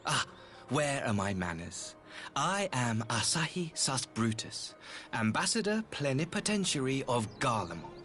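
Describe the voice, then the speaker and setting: Smooth, theatrical imperial envoy, lively pitch. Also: male voice, measured pace, soft background music. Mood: polite, gracious. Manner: formal, theatrical, unctuous courtesy. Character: smooth, courteous male imperial ambassador, self-important and ingratiating. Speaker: game voice actor. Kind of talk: scripted game dialogue.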